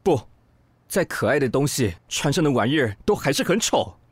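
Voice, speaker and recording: A man's voice: medium pitch, big pitch swings, normal speed, husky, no echo. A man playing a fussy, petulant magazine boss who despises ugliness, in a radio drama. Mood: sullen, disdainful. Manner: melodramatic, petulant.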